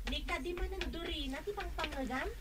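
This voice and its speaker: A woman's voice: extremely high pitch, a little fast, crowd noise. Piercing, pleading Ilocano schoolgirl. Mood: pleading, chiding. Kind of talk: Ilocano radio drama acting.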